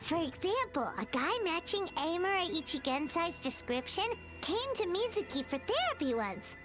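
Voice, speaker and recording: Squeaky, excitable little companion girl. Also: moderate pace. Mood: explaining. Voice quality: squeaky, childlike. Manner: chirpy, expressive, cheeky. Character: small excitable childlike floating companion girl. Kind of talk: video game voice acting. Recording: background music under dialogue.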